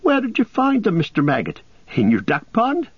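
A man's voice: high voice, huge pitch swings, moderate pace, slightly rough, dry recording, pitched above the performer's own voice, full character voice. A man voicing a breezy, teasing Merry. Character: cheerful, teasing young hobbit gentleman. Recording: dull lo-fi audiobook recording.

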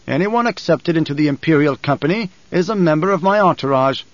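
A man's voice: a little high, lively intonation, brisk, no room sound, dull audio, close to an everyday voice. A man voicing a haughty, indignant emperor in an audiobook, with a grated, imperious delivery. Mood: disdainful. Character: haughty, indignant male emperor, middle-aged father.

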